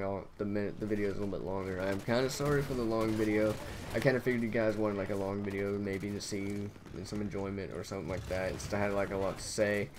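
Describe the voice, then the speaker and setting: A young man hosting a gaming channel, talking in a flat, monotone voice and rambling with lots of fillers over gameplay. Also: fairly low pitch, background noise. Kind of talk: casual gameplay commentary.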